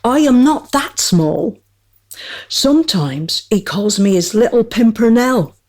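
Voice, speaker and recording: A woman acts a small court jester in a radio play, irreverent and witty. The voice sits fairly high and moves slowly, with measured, wry storytelling and mock-courtly formality. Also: lively intonation, a little mellow. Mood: confiding, grave.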